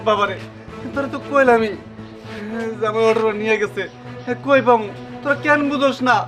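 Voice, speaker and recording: A man's voice: very high voice, brisk. Man playing a despairing poor father. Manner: pleading, despairing. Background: background music.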